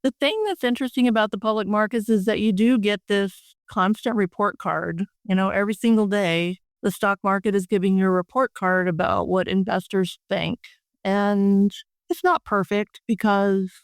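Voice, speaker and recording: Older lady expert being interviewed, smooth clean voice, pretty even tone, explains stuff plainly. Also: mid-range voice, moderate pace, no echo, studio quality. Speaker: industry expert guest and board member. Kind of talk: conversational interview answers.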